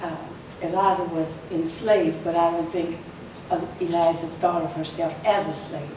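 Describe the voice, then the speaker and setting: A woman's voice: on the low side, level tone, normal speed. A woman speaking as a society spokesperson at a ceremony, telling a story with emphasis and some fillers. Her voice is weaker than usual, and she leans in to a podium microphone. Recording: echoey hall from far off, noisy.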